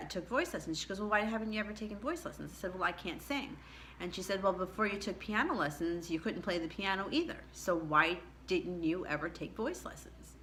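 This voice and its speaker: A middle-aged woman with a low contralto voice telling a candid personal story in a chatty way, laughing often. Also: sharp tone, a little hiss or hum. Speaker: vlogger.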